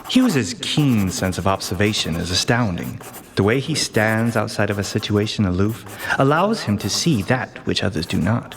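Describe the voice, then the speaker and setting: A man's voice: fairly low pitch, animated, normal speed, gravelly. A man playing an earnest, admiring sidekick. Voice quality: earnest, easily impressed. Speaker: narrator and assistant. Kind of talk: radio play acting.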